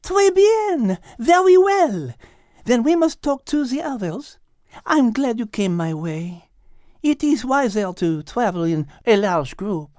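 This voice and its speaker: A man voicing a clever female cat leader with a French accent, speaking in a measured, formal way for an audiobook. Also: very high-pitched, big pitch swings, a little mellow, no echo, studio quality, pitched above the performer's own voice, full character voice. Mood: gracious. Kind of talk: animated audiobook character reading.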